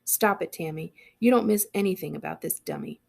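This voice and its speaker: A woman acts out a defensive teenage girl in an audiobook, tense and exasperated. The voice is heavily creaky and husky, pitched a little low, with dramatic delivery. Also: a little fast, a little mellow. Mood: self-scolding. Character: tense, defensive teenage girl narrating her own story. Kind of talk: first-person audiobook reading.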